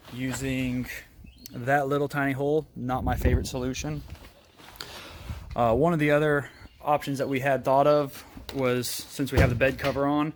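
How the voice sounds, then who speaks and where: A man's voice: mid-range voice, a little hiss or hum. A man hosting a casual how-to video, explaining step by step as he demonstrates. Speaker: host of a DIY vehicle video.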